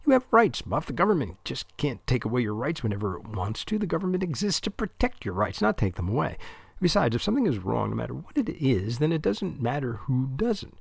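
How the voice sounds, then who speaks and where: A man voicing a sharp, impatient teenage girl who captains a debate team and lectures and needles with quick comebacks. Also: mid-range voice, sing-song, gravelly, husky, no room sound, heavily acted. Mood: lecturing.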